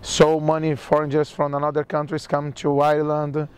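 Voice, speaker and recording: A Brazilian man with a Brazilian Portuguese accent, interviewing a guest in halting English and laughing easily. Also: on the high side, monotone, clean tone. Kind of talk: casual street interview.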